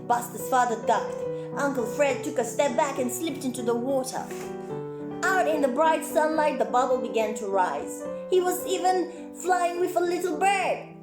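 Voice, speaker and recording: A woman reading a story aloud for children as a clown presenter, expressive and exclaiming, with chatty asides to her young listeners. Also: sits high, moderate pace. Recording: roomy echo, music faintly behind.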